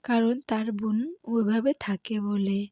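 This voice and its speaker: Mellow-voiced woman narrating a cartoon story. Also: a little high, level tone, a little slow, dry recording, muffled recording.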